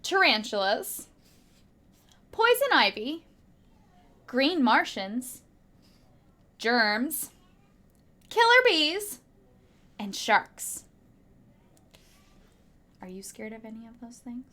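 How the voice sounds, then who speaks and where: A woman teacher reading a children's picture book aloud with dramatic emphasis and hushed asides to young listeners. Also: a little high, big pitch swings, very slow, pauses often.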